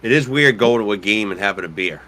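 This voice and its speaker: Male co-host, low-pitched, rapid, sharp-edged delivery, informal conversation. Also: expressive. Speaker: podcast co-host, hockey referee. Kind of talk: casual chat.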